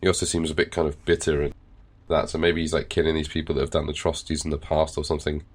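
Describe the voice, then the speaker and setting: Brief: congested man, low voice, rambling casual game commentary. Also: monotone, fast. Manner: rambling, with fillers and swearing. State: nasal and congested, recovering from illness. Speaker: let's play host. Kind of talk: casual gameplay commentary and reading game text.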